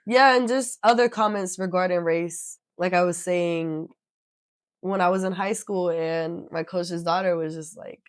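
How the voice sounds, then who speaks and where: Chatty young woman with a lowish voice. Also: fairly even intonation, a little slow, dry recording, studio quality. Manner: chatty, self-mocking, laughs often. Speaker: podcast guest. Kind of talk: casual chat.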